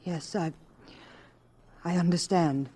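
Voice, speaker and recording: A woman's voice: on the low side, fairly even intonation, slow, warm tone, breathy edge. An actress in a radio drama playing an anxious, earnest married woman who speaks politely and pleadingly. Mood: hushed, sympathetic.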